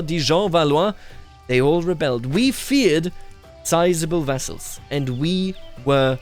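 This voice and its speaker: A man giving unscripted roleplay commentary over a strategy game, musing aloud as a ruler in measured, formal wording. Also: fairly high pitch. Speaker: gaming video host. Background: music behind the voice.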